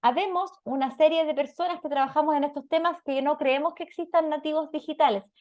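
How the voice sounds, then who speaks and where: A woman's voice: sits high, fairly even intonation, fairly quick, darkish tone, muffled recording. A woman with a Chilean Spanish accent giving an explanatory talk as a parenting lecturer, didactic and often checking in with short questions.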